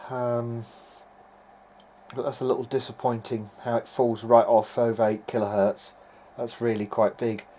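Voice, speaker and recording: A man's voice: medium pitch, flat delivery, unhurried, dark tone. A man giving an informal, hesitant video-diary narration as he tests equipment, thinking aloud in a noisy amateur recording. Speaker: hobbyist electronics repairer.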